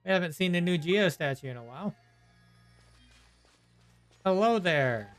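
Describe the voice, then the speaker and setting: A man's voice: high-pitched, lively intonation, measured pace, fairly bright tone. A man giving casual commentary while playing a video game. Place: voice-over on game audio with music behind.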